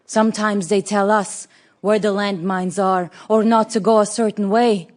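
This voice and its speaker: Actress doing afghan woman health worker, brave and determined, mid pitch, radio drama monologue. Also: level tone, normal speed, a little mellow, close to an everyday voice. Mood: resolute, wary. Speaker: actress in an audio play.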